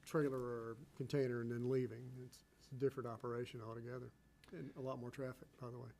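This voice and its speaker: A man's voice: medium pitch, level tone, fast, vocal fry, darkish tone. A plain-spoken man talks informally as a board member in a meeting, repeating himself as he makes his point. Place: public meeting room. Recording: faint room noise.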